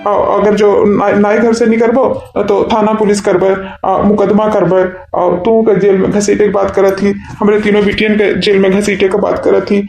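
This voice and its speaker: A man's voice: high-pitched, flat delivery, gravelly, darkish tone. A man voicing a helpless, henpecked husband in a village cartoon comedy, pleading and repeating himself in an eastern Uttar Pradesh accent. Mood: helpless, upset. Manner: pleading, repetitive, halting. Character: henpecked, helpless village husband caught between two wives. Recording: echoey room sound.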